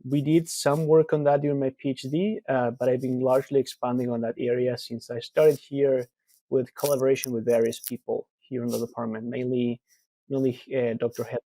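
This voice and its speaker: A man from Argentina in his thirties, a researcher answering interview questions in a relaxed, explanatory way with frequent fillers. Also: medium pitch, brisk, no room sound, low-quality recording. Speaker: guest researcher.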